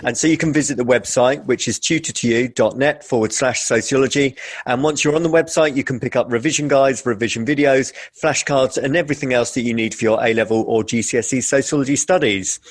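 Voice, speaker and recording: A man's voice: mid-range voice, fairly quick. A man hosting a podcast, introducing the show and putting questions to a guest. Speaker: podcast host, interviewer.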